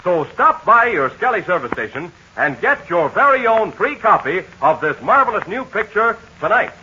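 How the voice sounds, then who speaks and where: A man announcing in a 1940s radio ad, making a peppy, folksy pitch to children. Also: sing-song, moderate pace, pushed and bright, a little hiss or hum. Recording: muffled 1940s radio transcription.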